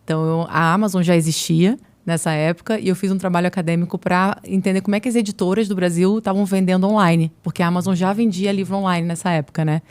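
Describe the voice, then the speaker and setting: A quick-speaking woman from Niterói, with a Rio accent worn smooth by years in São Paulo. Her voice sits a little low, and she tells her career story as an interview guest. She brings her anecdotes to life by acting out the dialogue. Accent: Rio de Janeiro Portuguese, softened by years in São Paulo. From Niterói, Brazil. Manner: animated storyteller who acts out quoted dialogue. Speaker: guest, marketing executive. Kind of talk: casual interview talk.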